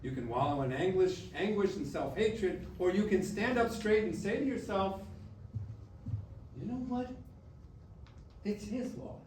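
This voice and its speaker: Echoey, animated, high-voiced grandfather played by an actor. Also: unhurried, pauses often. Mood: earnest, firm. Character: playful, loud, loving grandfather back from death. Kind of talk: staged musical reading, acted dialogue. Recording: distant, echoey room with faint noise.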